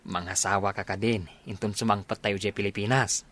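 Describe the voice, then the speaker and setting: A man acting as an excitable, friendly young buddy in a radio comedy drama. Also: lowish voice, normal speed. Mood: excited, teasing.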